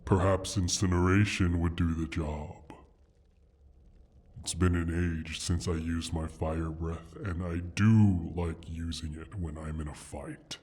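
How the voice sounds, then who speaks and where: A man playing an injured, menacing dragon who guards his gold hoard, speaking in a slow, deliberate, husky voice in an ASMR roleplay. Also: fairly even intonation, a little mellow. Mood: musing, threatening. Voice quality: husky, breathy, deep.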